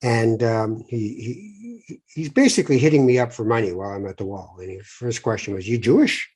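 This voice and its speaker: A husky-voiced middle-aged man from Morocco hosts a relaxed podcast interview. He tells stories in an animated way, often stopping and restarting, and acts out the people he quotes. His pitch sits right in the middle for a man. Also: moderate pace. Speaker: podcast host. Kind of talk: conversational interview.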